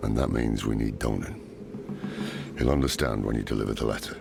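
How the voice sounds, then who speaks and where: An actor playing a grave, weary old scholar in a video game, speaking in a brooding, measured way with a gravelly voice. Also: male voice, background noise, full character voice. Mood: grave, resolute. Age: older. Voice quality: deep gravelly voice. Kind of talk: dramatic video game acting. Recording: loud background music.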